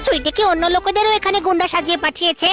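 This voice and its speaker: Childlike cartoon sidekick: piercingly high and bright, naive, easily puzzled, light music behind. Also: female voice, rapid-fire. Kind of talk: animated cartoon dub acting.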